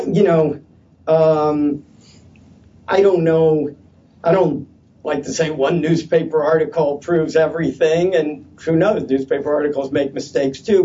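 A man speaking loudly at a conference panel, hesitant and halting with many fillers and restarts. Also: a little high, slight background noise. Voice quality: loud voice. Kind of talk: unscripted conference talk. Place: conference session room. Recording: distant, dull lo-fi conference audio.

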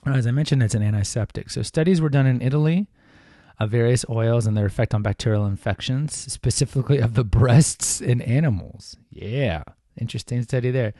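A man's voice: mid-range voice, mellow, dry recording, studio quality. A man hosting a podcast, talking casually and informally, with fillers and self-corrections. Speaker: podcast host. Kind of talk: casual solo podcast talk.

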